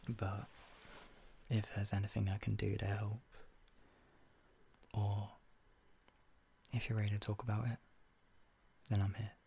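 Soft-spoken man with a gravelly voice. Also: fairly low pitch, level tone, unhurried, long pauses, slight background noise. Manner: gentle, soothing, often whispered. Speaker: comforting roleplay voice actor. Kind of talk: whispered comfort roleplay.

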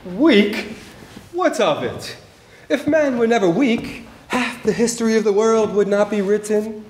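A man's voice: high-pitched, animated, measured pace. A stage actor in his forties playing a proud, dutiful officer with clipped, old-fashioned genteel phrasing. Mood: flirtatious, challenging. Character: gallant, dutiful male officer and royal messenger, charmed but proud. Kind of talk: live theatrical stage acting. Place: theater stage, indoors. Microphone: distant camera. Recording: echoey theater stage, faint hiss.

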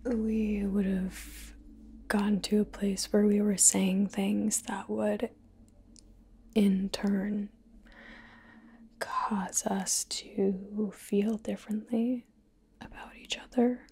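A young woman speaks in a very breathy, soft whisper, as in an intimate ASMR roleplay. Her pace is slow, with careful pauses between phrases. The tone is mellow and close to the mic. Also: mid-range voice, little pitch movement. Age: young adult. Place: small living room, indoors.

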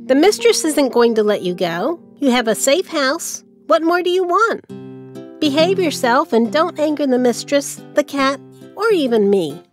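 A woman's voice: sits high, big pitch swings, a little slow. A woman voicing a bossy, scornful hen in a children's cartoon, haughty and scolding. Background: music.

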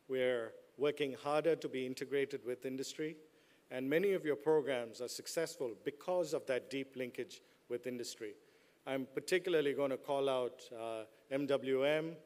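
A man's voice: mid-range voice, a little mellow. A middle-aged man giving a commencement address from a podium in a large hall, mixing playful jokes with the audience into his speech. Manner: joking, playful asides to the audience. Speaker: business school dean giving a commencement speech. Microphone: podium microphones.

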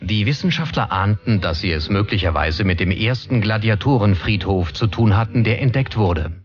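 A man narrating a documentary from a script in a measured, serious manner. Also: lowish voice, lively intonation, highs cut off. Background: background music.

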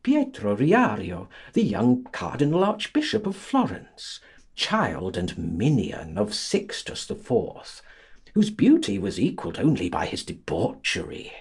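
A man narrating a literary audiobook in a theatrical, expressive, dramatic reading style. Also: lowish voice, unhurried, breathy edge.

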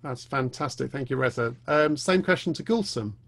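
A man's voice: medium pitch, lively intonation, normal speed, creaky, a bit bright. A man in his forties moderating a video call panel from a living room, friendly and brief in his handovers. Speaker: panel host. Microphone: video call webcam.

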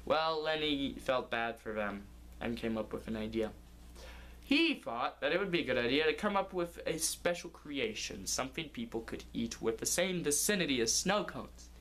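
A man's voice: mid-range voice, expressive, normal speed, a bit bright. A young man improvising a comic story as its narrator, recorded indoors in a living room. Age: young adult.

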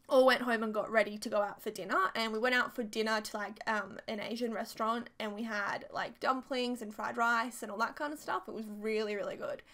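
A woman's voice: fairly high pitch, fairly bright tone. A young Australian woman with a sore throat from a cold, chatting in a casual, rambling way full of fillers as she tells a story over gameplay. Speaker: YouTuber narrating over a game build.

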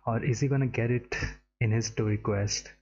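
A man's voice: mid-range voice, steady tone, moderate pace, darkish tone, lo-fi sound, a little hiss or hum. A young man from India giving casual reaction commentary as a streamer, on a headset. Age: young adult. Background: game trailer music.

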